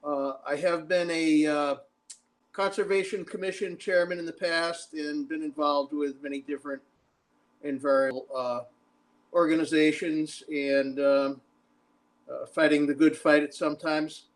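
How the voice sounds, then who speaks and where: A man's voice: fairly high pitch, fairly even intonation, a little slow, low-quality recording. A man introducing himself at a workshop, with wry, dry humor. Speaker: workshop participant. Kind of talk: self-introduction in a meeting.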